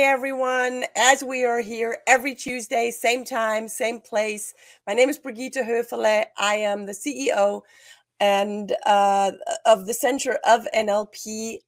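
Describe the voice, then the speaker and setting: Middle-aged woman host, raspy today, upbeat motivational delivery, dry close webcam sound. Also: on the high side, dry recording. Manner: energetic, emphatic, motivational. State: slightly raspy voice. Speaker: talk show host. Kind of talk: upbeat scripted show intro and interview. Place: small room with textured wall, indoors. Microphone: webcam video call.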